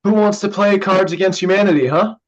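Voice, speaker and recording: A man's voice: sits high, brisk, dull audio, lightly acted. A man playing a nervous, evasive young man hiding his drinking, stammering defensively in a staged play reading. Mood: covering up.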